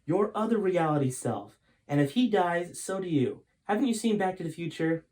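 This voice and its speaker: A man's voice: high-pitched, lively intonation, moderate pace, a little mellow, voice pushed up, rough recording. A man voicing a determined, anxious father and time traveller in a storybook, earnest and emphatic. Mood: urgent, insistent.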